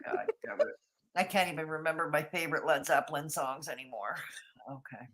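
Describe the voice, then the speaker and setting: A woman's voice: low-pitched, fairly quick, a crowd in the background. A woman with a Canadian accent hosting a casual livestream panel, laughing often and teasing herself. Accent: Canadian English. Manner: laughs often, reads viewer comments aloud, self-mocking. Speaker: stream host.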